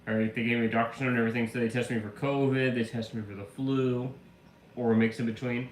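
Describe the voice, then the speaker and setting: A man's voice: mid-range voice, steady tone, quick pace. A man live streaming who is getting over the flu and still has a slight cough. He chats casually, rambling through a story with lots of fillers. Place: room at home. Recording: echoey room with faint ambient hum.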